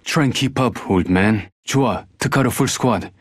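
An actor with a Korean accent playing a guarded, sardonic younger hacker in a video game, terse and cool. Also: male voice, mid-range voice, fast talker, no echo, clean studio sound, natural voice. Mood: brisk. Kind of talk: video game character voice lines.

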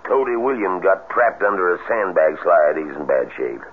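A gruff older American country doctor in an old radio play. His deep voice moves in lively swings, blunt and folksy. The sound is thin, like a phone. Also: moderate pace. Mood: brisk, reassuring. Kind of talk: 1970s radio drama acting. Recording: tinny, faintly noisy old radio broadcast.

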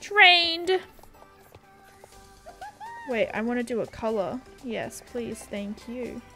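A woman's voice: a little high, sing-song, slow, sharp tone, soft background music. A young woman streaming a horse game, chatting casually from home on a headset and reading viewer messages aloud. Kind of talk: casual livestream chat. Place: home room at a gaming setup.

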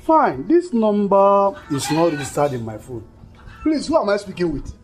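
A man's voice: high-pitched, wildly swooping pitch, normal speed, over music. An actor playing a disbelieving village father in a film drama, emphatic and repeating himself. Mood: guarded.

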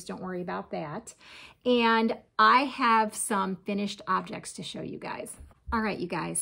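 A woman's voice: medium pitch, vocal fry, a bit bright. A woman in her forties chatting casually to viewers, showing her crafts at home in her kitchen and often calling them "you guys.". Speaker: crochet vlogger. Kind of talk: casual show-and-tell chat.

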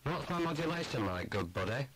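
Guy doing a chummy cb caller, quick slangy patter, medium pitch, tape demo skit. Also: sing-song, fairly bright tone, slightly rough, poor audio. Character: chummy male CB radio caller. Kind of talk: scripted CB radio demonstration.

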